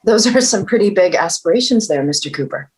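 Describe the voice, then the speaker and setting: An actress playing a no-nonsense police detective with a wry, matter-of-fact manner in a scripted table read. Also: female voice, mid-range voice, expressive, brisk, breathy edge. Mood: wry, coaxing. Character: no-nonsense, dryly coaxing female police detective.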